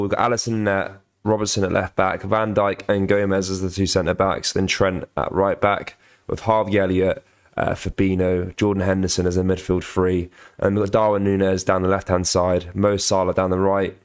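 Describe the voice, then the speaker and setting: A young man with a cold-croaky voice hosting a casual live football watch-along, halting with many ums while reading viewer comments. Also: deep voice. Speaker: football channel host.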